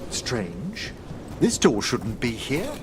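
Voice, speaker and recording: A man playing a courtly, scholarly necropolis mage in a fantasy game, speaking formally and eloquently in measured phrases. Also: mid-range voice, wildly swooping pitch, background noise. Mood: puzzled. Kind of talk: fantasy video game voice acting. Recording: game music behind the voice.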